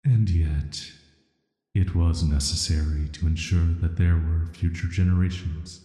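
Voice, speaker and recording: A man playing an ancient cursed villain in a sleep-aid audio roleplay, speaking in a soft, flat, soothing and intimate way, flirty yet dangerous. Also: low-pitched, a little slow. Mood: calm, admiring. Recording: echoey, long reverb.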